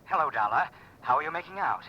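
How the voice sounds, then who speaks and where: An actor playing a fretful, cost-minded insurance executive in vintage radio drama, hesitating over a telephone line. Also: male voice, on the high side, expressive, fast. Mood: businesslike. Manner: hesitant, hemming. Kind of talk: 1940s-50s radio drama acting. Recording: dull, noisy vintage radio phone voice.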